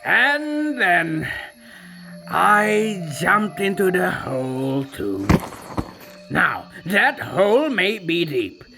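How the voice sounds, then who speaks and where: A sly old storyteller tiger, voiced by a performer, rasps out the tale slowly, his tone rising and falling a lot. The voice is rough and gravelly, with music playing under it throughout. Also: medium pitch, sing-song, full character voice. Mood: wry. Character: boastful tiger narrating his own tale. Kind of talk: scripted audio storybook narration.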